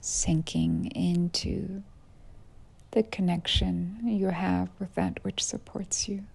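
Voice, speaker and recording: A woman guiding a yoga nidra meditation as a teacher, with soft, breathy phrase endings and unhurried pauses. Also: lowish voice, fairly even intonation. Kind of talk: guided meditation.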